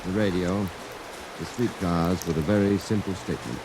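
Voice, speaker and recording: A stiff, formal Martian in a low voice. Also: male voice, background noise. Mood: measured, formal. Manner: stiff, precise and measured. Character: calm, formal male Martian visitor posing as a businessman. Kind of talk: 1950s radio drama acting. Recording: vintage radio recording under loud rain.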